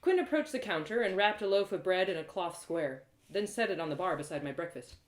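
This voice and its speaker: A woman narrating a first-person fantasy story as a storyteller, expressive and playful. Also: on the low side, brisk. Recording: roomy echo.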